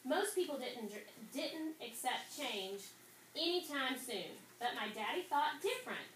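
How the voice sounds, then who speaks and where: A woman teacher reading aloud and instructing a fifth-grade class, pausing to pose questions to her students. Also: high voice, lively intonation, a bit bright. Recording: roomy, distant, noisy classroom recording.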